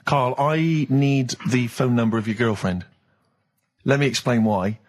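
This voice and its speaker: A man's voice: medium pitch, unhurried, noisy background. A British man hosting comic radio banter, teasing in a mock-earnest way. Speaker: radio show host.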